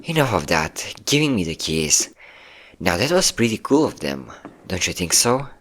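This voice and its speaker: A man's voice: low voice, big pitch swings, moderate pace, fairly bright tone, heavily acted. A man playing a possessive, manipulative ex-boyfriend in a dramatic ASMR roleplay, coaxing with pet names and sweet-talking menace. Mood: menacing, smug. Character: possessive, manipulative yandere ex-boyfriend hunting his hidden partner.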